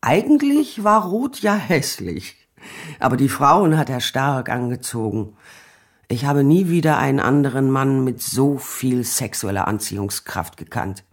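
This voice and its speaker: A woman's voice: extremely low pitch, lively intonation, a little slow, heavily acted. An actress playing a frank, worldly woman looking back reflectively on a former lover in a radio drama. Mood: frank, fond.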